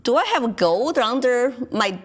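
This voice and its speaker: A woman's voice: fairly high pitch, very animated, unhurried. A woman with a Mandarin Chinese accent, a guest on a local TV talk show, making her case earnestly and asking rhetorical questions. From China. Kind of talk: political talk show discussion. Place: local community TV studio.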